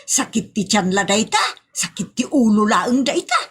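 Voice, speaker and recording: A woman's voice: fairly high pitch, very animated, moderate pace, a bit bright, slightly rough, heavily acted. A woman playing a fussy, superstitious, scolding mother in a comic audio drama, with an Ilocano accent and fretful exclamations, over faint noise.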